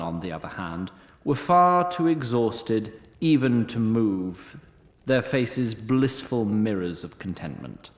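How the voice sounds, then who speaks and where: A man's voice: medium pitch, reverberant. A man playing a haughty immortal storyteller, narrating a fantasy audio drama in a droll, formal, arch manner. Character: wry, lofty immortal storyteller, haughty toward mortals.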